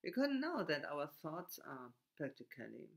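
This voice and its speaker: A man from Germany with a German accent, speaking haltingly in a reflective monologue with frequent restarts and broken grammar. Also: on the high side, wildly swooping pitch, gravelly.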